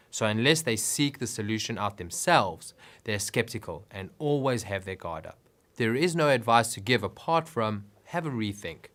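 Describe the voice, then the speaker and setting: A man's voice: medium pitch, a bit bright. A man reading scripted business advice narration in an instructive way with emphatic phrasing.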